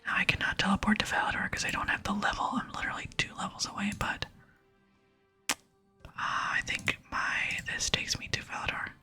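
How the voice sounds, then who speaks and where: An ASMR gaming host whispering casual commentary, softly murmuring thoughts aloud while playing. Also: a little fast, faint music behind. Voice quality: soft breathy whisper. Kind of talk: ASMR gameplay commentary, reading dialogue aloud.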